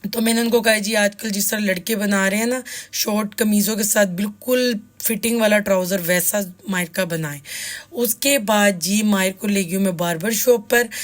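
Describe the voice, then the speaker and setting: A woman with a sore, hoarse throat, chattily narrating her own shopping vlog and addressing viewers directly with frequent tips. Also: medium pitch. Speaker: vlogger, mother. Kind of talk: casual shopping vlog voice-over.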